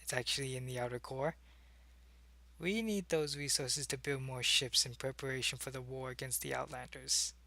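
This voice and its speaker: Casting: male actor for secretive alien operative, ASMR roleplay. Medium-pitched, gravelly, earnest and hesitant as he explains himself, close dry sound. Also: moderate pace, bright, forceful tone, no room sound. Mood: hesitant, confessing. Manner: hesitant, explanatory, intimate one-sided conversation. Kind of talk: ASMR roleplay, acted monologue to the listener.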